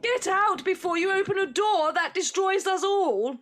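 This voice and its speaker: A woman's voice: very high-pitched, expressive, moderate pace. A woman playing a stern, secretive matriarch in an audio drama, commanding and dramatic. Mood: furious. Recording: clear background noise.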